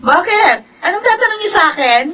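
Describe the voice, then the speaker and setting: An actress playing a coy, calculating young Filipino daughter who feigns sweetness in a radio soap opera. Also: female voice, extremely high pitch, very animated, fairly quick, bright, forceful tone, slight background noise, heavily acted. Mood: falsely cheerful. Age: young adult. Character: scheming young daughter feigning sweetness toward her stepfather.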